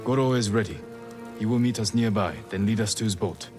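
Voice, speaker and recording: An actor playing a stern young samurai lord, terse, formal and restrained, in cinematic game dialogue. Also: male voice, on the low side, brisk, natural voice. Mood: resolute. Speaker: video game protagonist. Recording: music under the dialogue.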